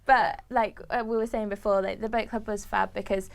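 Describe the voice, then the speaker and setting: A young woman with a quiet voice and a slight hoarseness, telling a story in a giggly way with lots of "like" as a guest. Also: mid-range voice, steady tone, moderate pace, noisy background. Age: young adult. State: a bit hoarse. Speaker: student officer guest. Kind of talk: casual studio interview.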